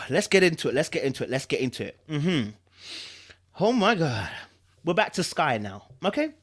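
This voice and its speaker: A man hosting a dramatic book reading, mixing narration with animated, chatty commentary and repeating phrases for emphasis. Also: fairly high pitch. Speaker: host reading a book aloud.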